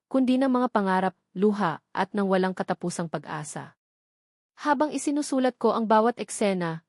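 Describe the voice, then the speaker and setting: A woman's voice: mid-range voice, darkish tone, dry recording, clean studio sound. A woman narrating a romantic drama story from a script in an earnest, sentimental manner. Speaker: narrator of love story channel.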